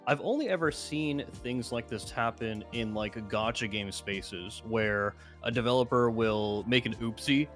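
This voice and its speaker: Man, guest commentator, casual, opinionated, explanatory, average pitch, background music. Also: fairly bright tone.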